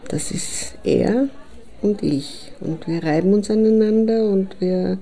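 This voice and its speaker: A woman playing a reflective friend in an audio drama collage, with a creaky voice. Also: medium pitch, slow pace, mellow. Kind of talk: short spoken testimony in an audio drama collage.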